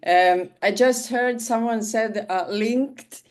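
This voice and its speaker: A woman's voice: on the high side, slow pace. A woman in her fifties from Switzerland, a leadership coach introducing herself on a video call, hesitant and full of fillers and restarts. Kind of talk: online call self-introduction.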